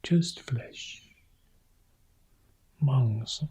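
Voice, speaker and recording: Soft, dark-voiced man guiding meditation very slowly. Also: mid-range voice, slight background noise. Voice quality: soft, husky, breathy. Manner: calm, sparse short phrases with long pauses. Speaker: meditation teacher guiding a practice. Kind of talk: guided meditation.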